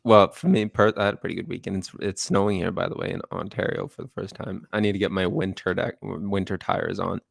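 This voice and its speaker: Male podcast co-host from Canada, rambling casual talker, deep gravelly voice. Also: fairly even intonation, no echo, clean studio sound. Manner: quick, rambling, repeats himself. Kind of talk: casual chat.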